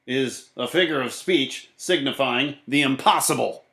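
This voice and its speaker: A man's voice: mid-range voice, measured pace, sharp tone, reverberant, voice pushed up. A man voicing a stern, contrary woman who scoffs at nonsense, with emphatic scolding delivery, in a children's story. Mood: insistent.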